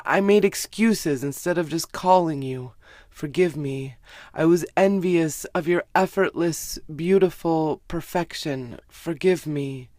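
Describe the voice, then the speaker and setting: A woman performing a slow, dramatic spoken-word confession at a religious service, hushed, halting and contrite. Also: on the low side, dry recording, clean studio sound. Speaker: performer reciting a confessional poem.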